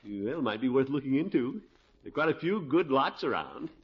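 Middle-aged scheming uncle, 1950s radio comedy: blustery, exclamatory, self-satisfied, on a dull old recording. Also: male voice, mid-range voice, big pitch swings, fairly quick, husky. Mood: coaxing, hearty.

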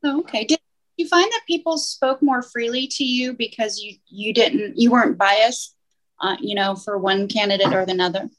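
A woman's voice: a little high, moderate pace, low-quality recording. Woman, podcast host, casual, chatty, hesitant. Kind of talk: casual interview.

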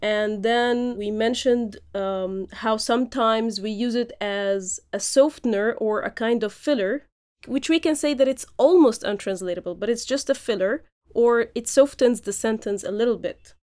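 A woman's voice: on the high side, moderate pace, a little mellow. A woman hosting a podcast, explaining language points in an instructive way with frequent fillers. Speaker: podcast host and Arabic teacher. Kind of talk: lecture-style podcast recap.

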